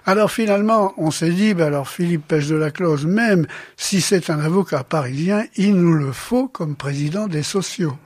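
A male interviewer with a fairly high voice asks questions in a lively, chatty way. The recording sounds close and dry, with no room around it. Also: moderate pace, few pauses, no room sound. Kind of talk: local radio interview.

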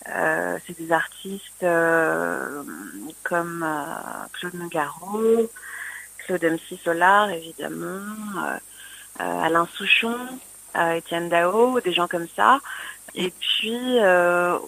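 A woman's voice: lowish voice, slow pace, creaky, a bit bright, low-quality recording. A woman singer-songwriter being interviewed on the radio, hesitant and full of fillers and restarts. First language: French.

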